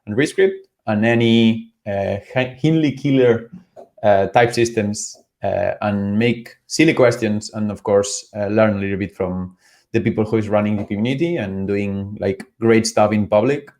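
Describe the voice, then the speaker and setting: A man's voice: on the low side, normal speed, darkish tone. Casual man host, filler-heavy questions. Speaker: podcast host. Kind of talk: casual interview chat.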